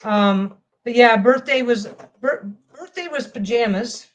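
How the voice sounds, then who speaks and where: A woman's voice: fairly high pitch, a little slow. A woman vlogging casually as she sews a quilt panel at home, rambling and often restarting her phrases. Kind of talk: casual chat while sewing.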